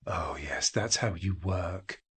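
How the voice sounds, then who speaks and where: A man's voice: low voice, slow, a bit bright, husky, pitched below the performer's own voice, heavily acted. A man playing a bitter, obsessive man who mutters and fumes with hatred in an audio drama. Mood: seething hatred. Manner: muttering, fuming.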